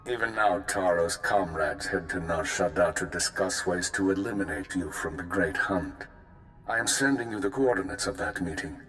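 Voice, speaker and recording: Deep, grave old warrior. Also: male voice, normal speed, a bit bright, faint music behind, rough recording. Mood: stern. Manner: solemn, formal, commanding. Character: grave old honour-bound Mandalorian warrior, stern male veteran. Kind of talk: video game voice acting.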